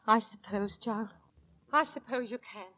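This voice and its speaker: A woman's voice: fairly high pitch, a little slow, over loud music, natural voice. An actress playing an anxious, stern middle-aged Victorian London landlady and mother, nervous and reproving, in 1940s radio drama style. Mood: uneasy, stern.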